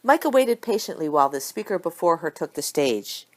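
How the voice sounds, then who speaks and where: Low-pitched woman reading a story, very expressive. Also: a little fast. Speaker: audiobook reader of fan fiction. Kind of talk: scripted story narration.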